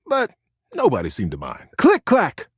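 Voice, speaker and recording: Male storyteller for a children's tale: mid-pitched, very animated, slightly husky, building gentle suspense, dry studio-like sound. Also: a little slow, no room sound. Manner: gentle suspense, expressive emphasis. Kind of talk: dramatic children's storybook narration.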